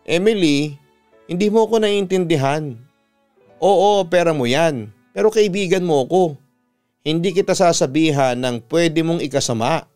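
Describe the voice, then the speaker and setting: A man's voice: fairly high pitch, moderate pace, a little mellow, clean tone, faint music behind, voice pushed up, close to an everyday voice. A Filipino man voicing a concerned young woman who scolds her friend in an exclaiming, reproachful manner, as part of a dramatic story. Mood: earnest, concerned.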